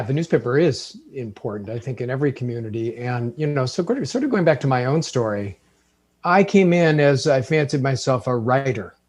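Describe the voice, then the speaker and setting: Man, newspaper executive, thoughtful, halting, video call. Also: medium pitch, a little mellow. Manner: thoughtful, halting with many fillers. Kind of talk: panel discussion.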